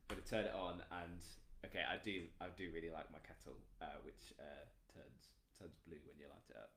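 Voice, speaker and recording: A man's voice: deep voice, steady tone, normal speed, fairly bright tone, slight background noise. A man with an English accent chatting casually as a co-host, with a dry, jokey, self-deprecating manner. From England. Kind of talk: casual chat, informal demonstration. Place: room in a house. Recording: echoey room.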